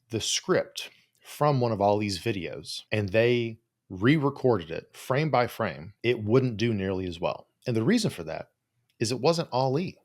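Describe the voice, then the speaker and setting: A man's voice: medium pitch, a little mellow. A man giving informal solo advice as a coach, talking in a blunt, no-nonsense way with plenty of casual swearing. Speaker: host, psychologist and business coach for creators.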